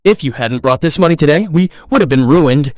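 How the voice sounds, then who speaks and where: A man's voice: a little high, expressive, a little fast, darkish tone, dry recording, close to an everyday voice. A man playing a critical young husband in a family drama story, with a stern, reproachful manner. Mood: remorseful. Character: demanding, critical young husband, later remorseful.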